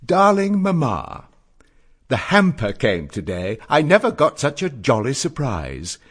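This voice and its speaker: An actor playing a witty, dandified, eloquent young letter writer in a theatrical, florid, articulate reading of letters. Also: male voice, mid-range voice, husky, heavily acted. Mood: boyish, eager. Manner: florid, expressive, measured and articulate.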